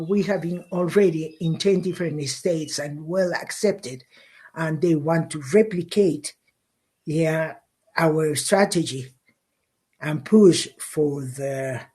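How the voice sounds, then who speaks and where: A middle-aged woman from Mexico, a conservation leader speaking English, passionate and halting with fillers and repeats. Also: on the low side, a little mellow, breathy edge. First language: Spanish. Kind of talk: interview answers.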